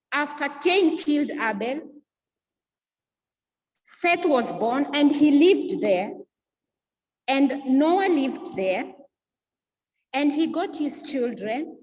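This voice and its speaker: A woman's voice: high voice, darkish tone, long reverb tail, rough recording. A woman giving an earnest, impassioned talk, repeating and restarting her words for emphasis. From East Africa. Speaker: speaker giving a talk on history. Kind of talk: impassioned informal lecture.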